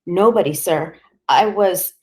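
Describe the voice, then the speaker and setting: A woman's voice: measured pace, close to an everyday voice. A woman voicing a terrified street boy begging and sobbing through a police interrogation. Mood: pleading. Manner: desperate, shrill, sobbing.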